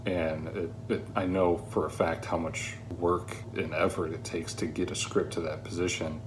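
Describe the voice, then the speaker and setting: A man giving a spoken review of a script in an earnest, reflective way with measured phrasing. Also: sits low, little pitch movement, noisy background.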